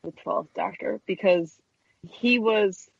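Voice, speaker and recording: A woman's voice: mid-range voice, slow, gravelly, slightly rough, dry recording, muffled recording. A woman in her thirties chatting casually and enthusiastically as an interview guest. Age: 30s. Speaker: guest, stop-motion animator.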